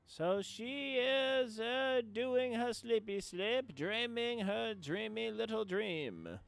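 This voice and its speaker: A man chatting casually on a game livestream at home, with playful, drawn-out phrasing. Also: sits high, expressive, gravelly, fairly bright tone, clean tone. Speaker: game streamer. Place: indoors at home. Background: game music faintly behind.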